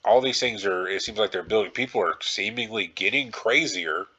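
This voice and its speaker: A man with a fairly low but forceful, bright voice hosts a casual solo podcast. He rambles and muses, speculating as he goes. The audio is slightly dull and band-limited. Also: moderate pace, breathy edge. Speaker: podcast host.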